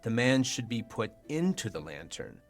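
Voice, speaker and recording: A young man playing an amused, courtly duke in a radio play of Shakespeare, speaking in a wry, formal, measured way. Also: mid-range voice, sing-song, faint music behind. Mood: wry amusement.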